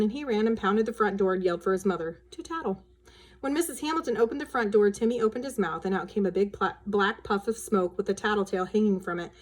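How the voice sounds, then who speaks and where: A woman reading a children's storybook aloud as a storyteller, expressive and acting out the characters' lines. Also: mid-range voice. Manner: acts out dialogue with changes of voice.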